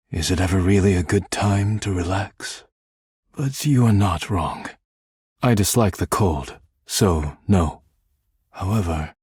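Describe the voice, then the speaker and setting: A deep, heavily husky male voice, recorded close and dry, for a video game character. He is a reserved, melancholy perfectionist who speaks formally and carefully. Each phrase is measured and a little stiff. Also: darkish tone, dry recording, full character voice.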